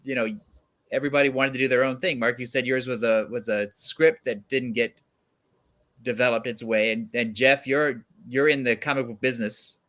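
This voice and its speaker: A man's voice: mid-range voice, steady tone, moderate pace, a bit bright. A middle-aged man hosting a casual, joking panel chat. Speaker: host.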